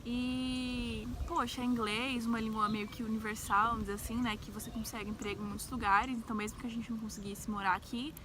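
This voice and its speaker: A woman's voice: a little high, flat delivery, fairly quick, hardly any pauses. A young adult woman with a central-west Brazilian accent, telling personal stories in a casual, chatty vlog style, often checking in with little agreement tags. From Brazil, grew up in Brasília. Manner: informal, peppers speech with tags seeking agreement. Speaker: travel vlogger. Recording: faint background noise.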